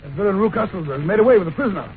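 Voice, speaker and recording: A detective's fussy medical companion in a radio mystery. His voice is high and very animated, coming through a thin, phone-like old recording. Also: racing, a little hiss or hum. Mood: excited. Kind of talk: old-time radio drama acting.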